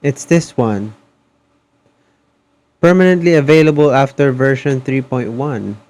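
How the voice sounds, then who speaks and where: Slow-talking, hesitant male streamer. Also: medium pitch, a little mellow. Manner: hesitant, halting. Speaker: game livestreamer. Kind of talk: casual stream chat.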